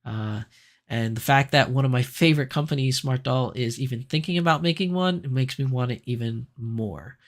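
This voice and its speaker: A man hosting a casual livestream, chatting and reading viewer comments, his voice raspier than usual because he is sick. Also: medium pitch, moderate pace. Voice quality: raspy. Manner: chatty, animated, laughs easily, frequent fillers. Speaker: livestream host.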